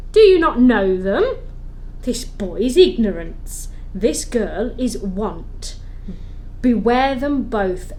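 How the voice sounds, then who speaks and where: A woman playing a solemn, stern Christmas spirit in an amateur audio play, speaking gravely and deliberately with declamatory warnings. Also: medium pitch, darkish tone.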